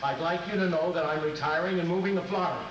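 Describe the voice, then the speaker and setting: A man's voice: a little high, quick pace, lo-fi sound, natural voice. Man playing a flustered, plaintive husband in an echoey hall. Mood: indignant, wistful. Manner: plaintive, flustered, repeating himself. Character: fretful middle-aged husband fixated on his lost barber. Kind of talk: live old-time radio comedy sketch acting. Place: convention stage before a live audience. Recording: echoey hall, distant mics, noisy.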